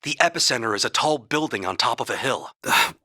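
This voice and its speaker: Young holy knight, husky, earnest, formal, expressive pitch, dry game recording. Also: male voice, dry recording. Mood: calm, earnest. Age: young adult. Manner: measured, formal, earnest delivery. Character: earnest young male holy knight, calm, noble spear fighter. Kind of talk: video game voice acting.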